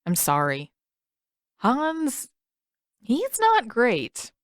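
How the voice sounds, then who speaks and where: A woman's voice: on the high side, huge pitch swings, no echo, studio quality, voice pushed up, full character voice. Woman voicing an awkward, earnest teenage boy. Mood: disappointed. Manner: casual, halting, blunt. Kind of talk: audiobook character dialogue.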